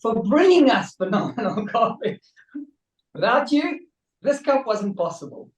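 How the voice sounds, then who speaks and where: A man's voice: extremely high pitch, big pitch swings, unhurried. A man co-hosting a coffee podcast, joking and enthusiastic. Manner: jokey, laughs often, enthusiastic. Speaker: podcast co-host. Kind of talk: casual interview talk. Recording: echoey room, from a distance.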